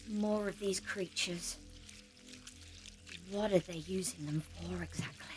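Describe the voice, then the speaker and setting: An actress playing a compassionate, horrified adventurer in an audio drama, speaking in a halting, emotional way. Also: female voice, lowish voice, over music, natural voice.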